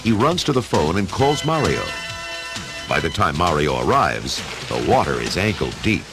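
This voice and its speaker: A man's voice: low-pitched, sing-song, normal speed. A man giving theatrical scripted narration for a radio adventure story. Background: music.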